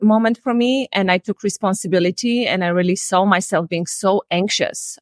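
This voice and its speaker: A woman's voice: medium pitch, no room sound. Earnest Polish-accented woman, dry sound. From Poland. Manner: earnest, reflective, slightly non-native phrasing. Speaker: life and relationship coach guest. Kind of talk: candid personal storytelling. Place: home room, indoors. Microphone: video call.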